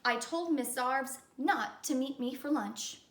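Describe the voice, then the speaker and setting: A woman voicing a brisk, firm, easily exasperated female schoolteacher in a storybook reading. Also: high-pitched. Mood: approving, firm. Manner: firm, instructing. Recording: echoey room.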